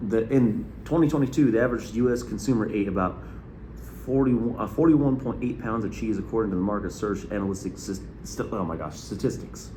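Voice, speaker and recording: Low-voiced man in his thirties, rambling. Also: brisk, mellow, roomy sound, slight background noise, low-quality recording. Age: early 30s. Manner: rambling, many fillers and restarts. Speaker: podcast co-host. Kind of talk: casual chat.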